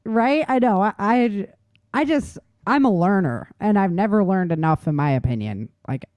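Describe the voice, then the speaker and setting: A woman's voice: medium pitch, lively intonation, normal speed, a little mellow, no echo. Casual woman host, dry close sound. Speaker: talk show host, author. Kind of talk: casual chat on survival tips.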